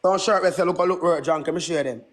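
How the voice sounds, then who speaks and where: Fast-talking brash Jamaican man. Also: high-pitched, fairly bright tone. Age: 20s. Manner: brash, boastful, repetitive, swearing. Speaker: livestream host. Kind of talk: casual livestream ranting and chat.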